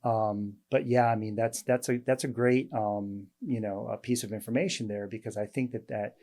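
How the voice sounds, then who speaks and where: Low mellow guy hosting, a doctor, lots of ums and restarts. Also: steady tone. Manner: halting, many fillers and restarts. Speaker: host, weight loss doctor. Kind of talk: casual interview chat.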